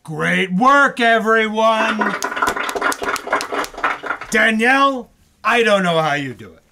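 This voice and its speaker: A man acting an excitable, theatrical 1940s radio producer in a hammy, emphatic comedy style. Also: high voice, slow pace, very noisy, heavily acted. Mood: gushing. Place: live stage performance in a bookstore.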